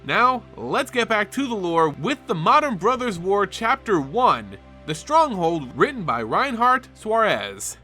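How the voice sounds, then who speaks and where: A man's voice: on the high side, bright, forceful tone. A man hosting and narrating a fantasy lore show, reading a script in a dramatic, emphatic storytelling manner. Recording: background music under the voice. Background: music.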